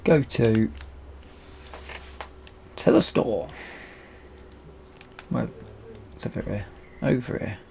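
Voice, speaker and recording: Man, slow gravelly gaming commentary. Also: mid-range voice, expressive, a little mellow, a little hiss or hum. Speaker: gaming video creator. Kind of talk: casual let's play commentary.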